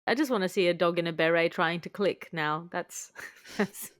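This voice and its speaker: A woman's voice: on the low side, normal speed, fairly bright tone. A woman hosting a comedy podcast in a wry, articulate way, moving between panel chat and scripted bits. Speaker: podcast host.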